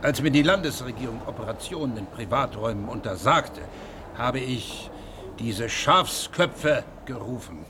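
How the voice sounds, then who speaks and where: An actor in a radio play voicing a dead, disgraced old surgeon, self-assured and biting, in clipped declamatory phrases. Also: male voice, mid-range voice, animated, normal speed, noisy background, close to an everyday voice. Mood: dry indignation.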